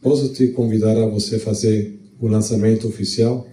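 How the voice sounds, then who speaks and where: A middle-aged man from Venezuela, hosting a launch event in a relaxed, chatty way with restarts, speaking into a handheld mic in a hall. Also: fairly low pitch, moderate pace, distant mic. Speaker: event host. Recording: echoey hall, mic some way off.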